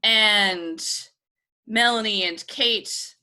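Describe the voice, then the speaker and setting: A woman's voice: medium pitch, very slow, bright, forceful tone. A woman hosting a comedy panel game show over a video call from home. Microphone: video call microphone.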